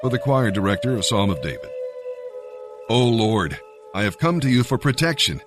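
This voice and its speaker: A man reading scripture and devotional commentary from a script in a measured, earnest manner. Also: on the low side, very animated. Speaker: Bible reader and devotional narrator. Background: music.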